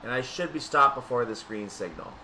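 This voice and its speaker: A man's voice: mid-range voice, expressive, a little fast, sharp tone, recorded from a distance, slight background noise, poor audio. A man giving casual gameplay commentary on a train simulator, thinking aloud and correcting himself as he goes. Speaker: gaming video host.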